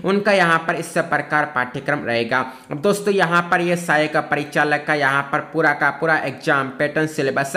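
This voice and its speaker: A man presents information in an explainer style. His voice sits a little high and has a bright, forceful edge. He addresses his audience as friends and falls back on the same filler phrases as he goes. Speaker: presenter of job recruitment updates. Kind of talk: informational explainer narration of a notice.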